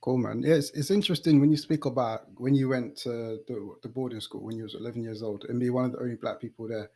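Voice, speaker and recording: Casting: male podcast host, London accent, soft mellow tone, informal and chatty delivery. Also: mid-range voice, fairly even intonation, fast. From East London, England. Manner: informal, conversational, uses slang. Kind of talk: casual interview chat and podcast introduction.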